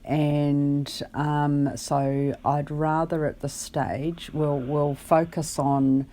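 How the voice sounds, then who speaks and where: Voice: mid-range voice, little pitch movement, very slow, warm tone. A caller on a phone conversation, explaining their case in a hesitant, careful and articulate way. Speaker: caller, benefit client.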